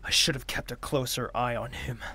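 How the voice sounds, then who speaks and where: Guy playing a proud earnest prince in a fantasy audio drama, bit of gravel in his voice, formal, mid pitch. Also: fairly even intonation, moderate pace, sharp tone, slight background noise. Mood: furious. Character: lovestruck young male royal, formal, proud, easily wounded.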